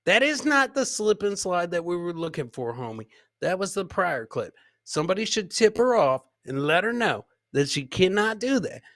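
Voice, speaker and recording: A man's voice: high voice, sing-song, moderate pace, fairly bright tone, no room sound, clean studio sound. A man hosting a casual live comedy show, commenting on clips, repeating his phrases and talking to his producer and viewers. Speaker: podcast host.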